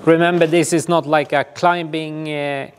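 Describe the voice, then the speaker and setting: A man, informally explaining outdoor gear to camera in a hesitant, halting way. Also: fairly high pitch, little pitch movement, slight background noise. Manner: hesitant, halting delivery with frequent pauses. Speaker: ski touring guide and instructor. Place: small indoor gear shop room.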